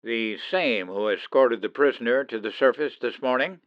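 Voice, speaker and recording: A man's voice: medium pitch, normal speed, no echo, muffled recording, lightly acted. A man playing an authoritative, protective middle-aged father and officer in an old-time radio drama, urgent and theatrical. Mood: stern, probing. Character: commanding, protective father, suspicious military officer.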